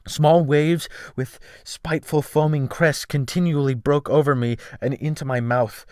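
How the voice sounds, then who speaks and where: A man's voice: medium pitch, no room sound, clean studio sound. A man giving a dramatic, theatrical first-person reading of literary fiction as an audiobook narrator. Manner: theatrical, earnest, deliberate phrasing. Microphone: Blue Snowball USB microphone.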